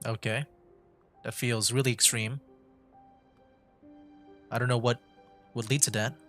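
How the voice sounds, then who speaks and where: A male game streamer with a medium-pitched voice, giving casual commentary. He muses aloud informally and hesitantly, with faint game music underneath. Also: little pitch movement, measured pace, sharp tone. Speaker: livestreamer playing a video game.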